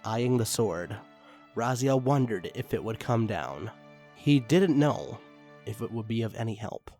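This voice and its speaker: A man's voice: lowish voice, animated, measured pace, a little mellow. A man reading dramatic fiction aloud as a scripted narrator. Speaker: narrator and story reader. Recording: background music under the reading. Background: music.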